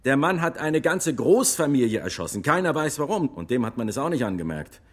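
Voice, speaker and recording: An actor playing a retired army lieutenant testifying in a radio drama courtroom, husky and matter-of-fact. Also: male voice, medium pitch, sing-song, brisk. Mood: matter-of-fact, earnest.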